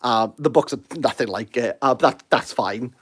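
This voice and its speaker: A man's voice: medium pitch, normal speed. A Welsh man in his thirties hosting a casual livestream, rambling and self-deprecating as he answers viewer comments. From Wales. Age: 30s. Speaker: livestream host.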